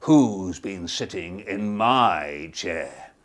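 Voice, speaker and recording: A man voicing a big, stern father bear in a storybook reading, slow and deep. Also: lively intonation, a bit bright, exaggerated voice.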